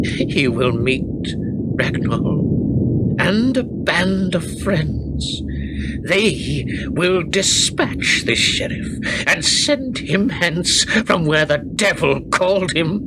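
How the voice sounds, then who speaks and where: A man's voice: fairly high pitch, very animated, very noisy. A man acting a brooding, defiant medieval lord in a period radio play, with grave, theatrical delivery of archaic English. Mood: resolute, menacing. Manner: grave, theatrical, deliberate phrasing. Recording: radio play with loud music underneath.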